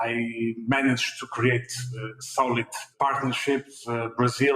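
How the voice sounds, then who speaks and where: A man's voice: medium pitch, fairly bright tone. Portuguese-accented man, hesitant, echoey room. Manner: hesitant, many fillers and repeated words. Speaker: fintech CEO guest. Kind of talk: podcast interview answers.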